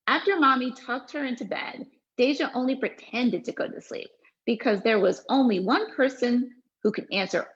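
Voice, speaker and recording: A woman reading her own children's story aloud in an expressive storytelling manner, then answering questions in a relaxed way with fillers. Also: on the high side. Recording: dull lo-fi recording.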